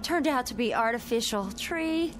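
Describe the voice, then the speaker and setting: An actress playing a nervous wife in a vintage radio drama, expressive and uneasy. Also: female voice, a little high, moderate pace, lightly acted. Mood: anxious. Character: jittery wife uneasy in a strange town.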